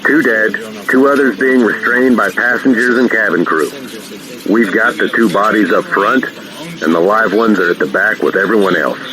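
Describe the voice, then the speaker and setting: An actor playing a calm, modest veteran airline captain talking over the radio in an audio drama, plain-spoken and measured. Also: male voice, on the low side, a crowd in the background, natural voice. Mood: shaken but composed. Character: calm veteran airline captain who retook his hijacked plane, modest. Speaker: airline pilot. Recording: noisy cockpit radio transmission.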